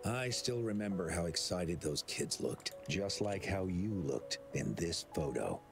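An actor playing a gentle male official from a game, speaking in a soft, reflective and nostalgic way. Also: sits low, lively intonation, measured pace, over music. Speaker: game character.

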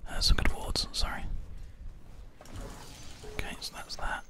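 A gaming host whispering ASMR gameplay commentary very close into a binaural microphone. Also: a little slow. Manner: hushed whispering throughout. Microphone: close binaural microphone, ear to ear.

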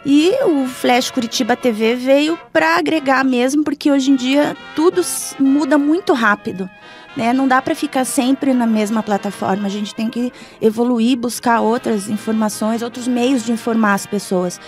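High-voiced woman interviewed on radio. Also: moderate pace. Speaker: interview guest, blogger and video presenter. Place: small foam-padded radio studio. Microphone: studio microphone. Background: faint music.

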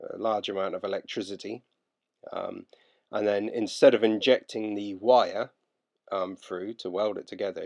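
A man in his thirties from the UK, casually narrating his boat-building work in a relaxed, practical way with frequent ums. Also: low-pitched, creaky.

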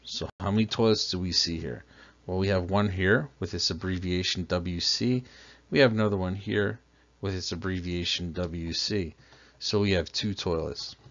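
A man's voice: low-pitched, normal speed, fairly bright tone. A man teaching a plumbing lesson, patiently explaining step by step and asking the listener questions. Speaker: plumbing instructor. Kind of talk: slideshow lesson narration. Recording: dull lo-fi voice-over.

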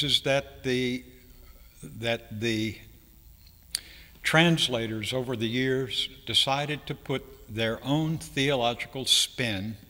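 Slow, deliberate older preacher in echoing hall. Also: male voice, medium pitch, sharp tone. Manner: deliberate, halting phrasing with pauses mid-sentence. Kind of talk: sermon, explanatory teaching. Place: church sanctuary, speaking from a pulpit. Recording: reverberant hall, long echo.

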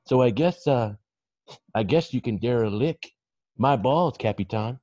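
A smug, easygoing hippie model acted by a man, dismissive and slangy. His pitch swings widely as he drawls, and the video-call sound is dry and a little dull. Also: animated, a little slow, darkish tone, dry recording, lightly acted. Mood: smug, taunting. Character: laid-back, cocky hippie male model, smug rival. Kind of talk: amateur comedy table read over video call.

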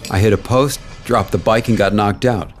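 A man's voice: lowish voice, steady tone, normal speed, soft background music, close to an everyday voice. An actor playing a hapless, confused author near forty who wants to be a biker, confessing his story in an audio drama. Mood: rueful.

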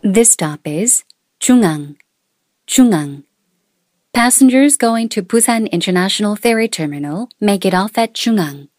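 A woman giving scripted subway announcements in a formal, deliberate way. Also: mid-range voice, expressive, darkish tone, no room sound, clean studio sound. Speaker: English-language subway announcer. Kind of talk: scripted public transit announcement.

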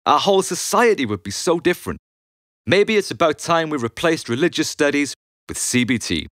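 Animated man reading his candid memoir. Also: fairly high pitch, moderate pace, dry recording, clean studio sound. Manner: conversational, blunt, emphatic, sweary. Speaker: author and musician reading own audiobook. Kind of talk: audiobook narration of a candid memoir.

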